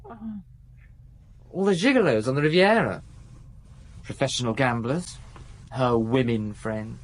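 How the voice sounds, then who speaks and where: A man's voice: mid-range voice, expressive, measured pace, natural voice. An actor in his twenties playing an evasive young male drug addict in a radio drama, talking in a sullen, guarded way. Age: 20s.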